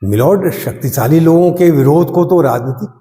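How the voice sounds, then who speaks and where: A man's voice: a little high, dark tone, close to an everyday voice. Echoey, impassioned male courtroom lawyer played by an actor. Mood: indignant. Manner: oratorical, emphatic repetition, dramatic pauses. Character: impassioned male army defence lawyer, bitterly ironic. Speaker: actor performing an audition monologue. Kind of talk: theatrical courtroom monologue. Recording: echoey reverberant room.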